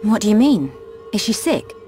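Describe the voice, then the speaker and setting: An earnest, courteous young noblewoman in a video game, played by a woman. Her mid-pitched voice is well-spoken and full of concern. Music is clearly audible behind her. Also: big pitch swings, normal speed, mellow, lightly acted. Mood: worried. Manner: polite, earnest. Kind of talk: dramatic video game cutscene acting. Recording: voice under clear background music.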